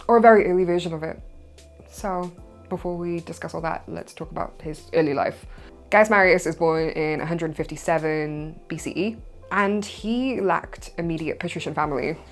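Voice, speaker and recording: A young woman with a Welsh accent, hosting a history channel in a chatty, informal monologue. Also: on the low side. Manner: chatty and informal, with loose asides. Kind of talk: casual educational monologue.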